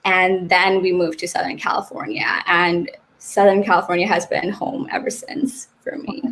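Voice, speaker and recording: A woman's voice: lowish voice, little pitch movement, normal speed, a bit bright, low-quality recording. A woman in her twenties from Nepal, a guest in a casual interview, friendly and dotted with fillers, on a video call mic.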